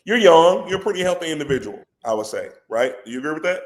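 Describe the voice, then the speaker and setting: A man with a head cold and a congested voice, a company president talking as a podcast guest in an animated, persuasive way. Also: a little high, fast. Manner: animated and persuasive, says "bro" a lot. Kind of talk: casual podcast conversation.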